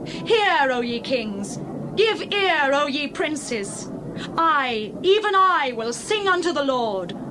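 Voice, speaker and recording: A woman's voice: sits high, sing-song, slow pace, exaggerated voice. A woman playing an exultant biblical prophetess in a dramatized scripture reading, declaiming solemnly. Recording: clear background ambient noise.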